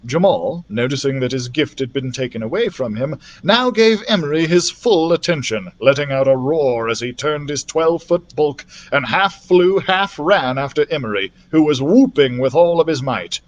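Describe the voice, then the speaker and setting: A man narrating a children's fantasy novel as a library storyteller, expressively, in an animated manner. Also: on the high side, moderate pace, few pauses, lo-fi sound. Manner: animated, acts out the characters' dialogue.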